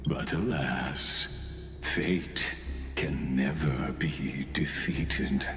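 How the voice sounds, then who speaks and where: A man's voice: rumbling low, steady tone, extremely slow, exaggerated voice. A man voicing an ominous, grandiose game villain, an imprisoned genius who speaks in a theatrical, gloating way and mocks fate. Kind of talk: video game voice acting. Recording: echoey voice under loud game music.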